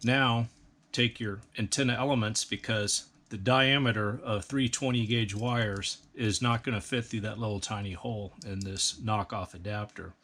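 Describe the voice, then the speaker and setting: A man calmly explaining a hands-on build step by step, in a practical, methodical way. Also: fairly low pitch, moderate pace. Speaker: instructor. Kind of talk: step-by-step how-to instruction.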